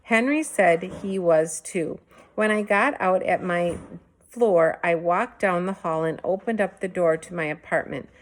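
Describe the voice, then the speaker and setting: Lower-voiced woman reading a storybook aloud. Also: measured pace. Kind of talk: expressive storybook read-aloud narration.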